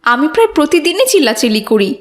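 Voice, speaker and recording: Woman playing a nagging, high-voiced village mother. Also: big pitch swings, fast talker. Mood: complaining. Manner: nagging, indignant rhetorical questions. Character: exasperated farmer's wife and mother, always complaining. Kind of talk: moral cartoon voice acting.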